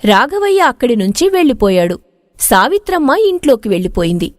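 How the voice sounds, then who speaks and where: A woman's voice: on the high side, big pitch swings, fairly quick, no room sound, clean studio sound. A woman narrating a scripted moral story.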